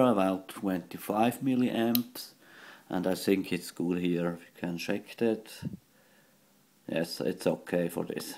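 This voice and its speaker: Man, non-native English speaker, lowish pitch, very slow halting delivery, matter-of-fact, improvised repair demonstration. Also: darkish tone. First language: not a native English speaker. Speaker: radio repair hobbyist. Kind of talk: hands-on technical demonstration, improvised commentary. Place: indoors at an electronics workbench.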